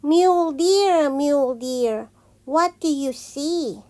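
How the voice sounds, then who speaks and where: A woman with a high voice reads a picture book aloud for young children. She goes extremely slowly and deliberately, giving the repeated phrases a sing-song shape. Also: warm tone, a little hiss or hum. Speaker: teacher reading picture books aloud.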